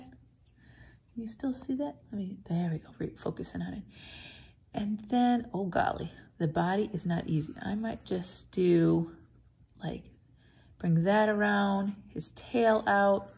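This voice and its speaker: Slightly husky, slow-talking American woman teacher. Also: mid-range voice, darkish tone. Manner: encouraging, chatty asides. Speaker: teacher reading to a kindergarten class. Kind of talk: read-aloud and drawing lesson for children.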